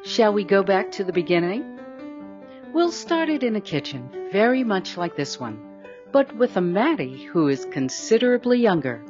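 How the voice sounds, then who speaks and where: A woman playing the narrator of an audio drama, guiding the story calmly. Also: mid-range voice, normal speed, dull audio, over music, natural voice. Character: calm adult female narrator of the story.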